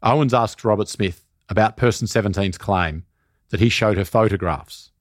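A man narrating a scripted documentary podcast in a measured, serious way. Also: lowish voice, dry recording, clean studio sound.

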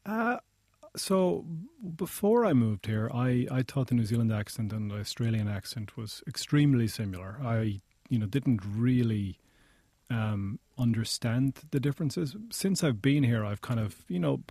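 An Irish man being interviewed in a studio, explaining things in a measured way. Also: mid-range voice, lively intonation, dark tone, dry recording. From Ireland. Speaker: interview guest, journalist. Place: radio studio.

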